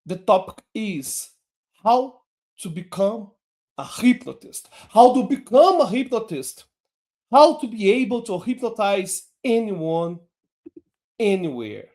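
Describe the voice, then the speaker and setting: Guy teaching a hypnosis lesson in english, takes it real slow, voice swoops up and down. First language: Portuguese. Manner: slow, deliberate delivery with lively pitch swings. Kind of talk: online lesson.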